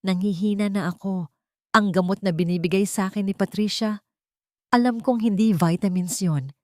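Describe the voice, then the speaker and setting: A woman's voice: medium pitch, moderate pace, dry recording, clean studio sound, natural voice. A woman narrator voicing a weak, tired and frightened dying wife leaving a last message in an audio drama. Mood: weak, fearful.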